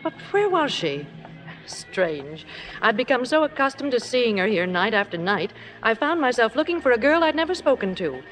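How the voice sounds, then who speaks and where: An actress playing a sensible, refined playwright's wife in a 1950s radio drama, with polished theatrical delivery. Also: female voice, a little high, sing-song, normal speed, fairly bright tone, soft background music. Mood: reflective. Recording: slightly noisy old radio recording.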